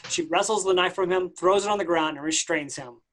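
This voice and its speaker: A man's voice: sits high, a little fast, a bit bright. A man reading stage directions as scripted narration at a play reading.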